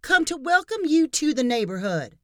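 A woman voicing a pushy, cheery middle-aged neighbor woman who talks loudly and overbearingly. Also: sits high, big pitch swings, moderate pace, dry recording, clean studio sound, voice pushed up. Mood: gushing. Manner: loud, gushing, overbearing.

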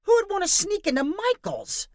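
A woman reading a story, voicing a careless young man who complains loudly and shrugs off blame. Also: very high voice, lively intonation, moderate pace, dry recording, clean studio sound, pitched above the performer's own voice. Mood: indignant. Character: sheepish male tag-along, careless friend.

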